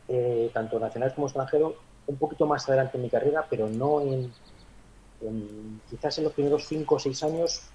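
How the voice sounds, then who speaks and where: A man's voice: mid-range voice, mellow, muffled recording, a little hiss or hum. A man in his forties from Madrid, a basketball coach answering interview questions thoughtfully, with many hesitations and restarts. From Madrid, Spain. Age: 40s. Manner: reflective, frequent hesitations and restarts.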